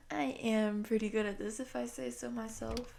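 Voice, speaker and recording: The goofy shapeshifter showing off in a monster-girl audio roleplay, a woman acting her out, breezy and playful, crackly and never rushed. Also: a little high, fairly even intonation, gravelly, darkish tone. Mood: playful, boastful. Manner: playful, show-off, casual. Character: goofy, cocky female shapeshifting slime girl doing impressions. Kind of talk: intimate roleplay addressed to the listener.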